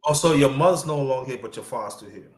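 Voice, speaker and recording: A man in his fifties from New York, hosting a casual live-stream chat and giving loud shout-outs to viewers. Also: mid-range voice, fast talker. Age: 50s. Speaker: livestream host.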